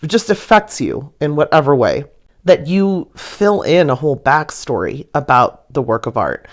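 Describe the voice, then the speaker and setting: Giggly woman chatting playfully. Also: rumbling low. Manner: giggly, laughs through sentences; playful, gushing, whimsical. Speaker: artist presenting own painting video. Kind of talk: casual storytelling chat, voice-over to viewers.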